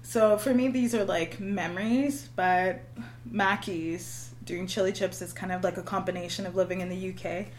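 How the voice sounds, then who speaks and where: A woman guest on a casual podcast, soft-spoken and relaxed, laughing often, with a faint hum behind her. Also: lowish voice, normal speed. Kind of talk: casual chat.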